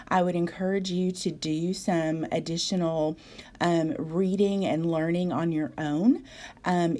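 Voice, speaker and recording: A woman from the South, a biology instructor giving a recorded video lecture in a voice hoarse from a cold, measured and explanatory with frequent ums. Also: fairly low pitch, mellow. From American South.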